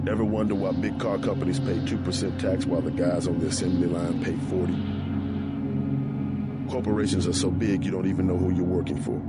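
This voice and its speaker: An actor playing a defiant captured militant leader who lectures about conspiracies in a video game. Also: male voice, sits low, close to an everyday voice.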